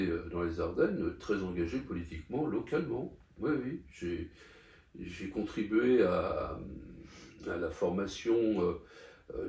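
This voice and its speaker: A man's voice: low-pitched, mellow. An older man from Paris, talking through his life in an interview, hesitant with many fillers and restarts. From Paris, France. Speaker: interview guest. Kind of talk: conversational interview. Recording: echoey room, distant mic.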